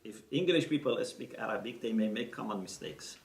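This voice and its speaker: A man's voice: on the low side, lively intonation, a little fast, gravelly. A man giving a teaching lecture, explaining step by step and checking in with his listeners. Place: lecture room. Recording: roomy echo, mic at a distance.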